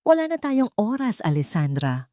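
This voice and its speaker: A woman voicing a grave, urgent male rescuer in an audio drama, speaking in a hushed, serious way. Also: sits high, big pitch swings, normal speed, no room sound, studio quality, pitched above the performer's own voice. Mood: urgent, alarmed.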